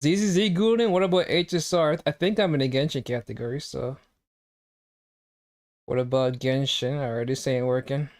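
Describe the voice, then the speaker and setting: A man's voice: medium pitch, moderate pace. A young man streaming a game, chatting casually and teasing his chat with jokes. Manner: joking, teasing, reacting to chat.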